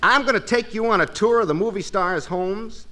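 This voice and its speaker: A man's voice: high voice, normal speed, sharp tone. An actor playing a brash small-time con man with an American accent, all glib sales patter in 1940s radio comedy style. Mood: wheedling. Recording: old radio recording with hiss and clicks.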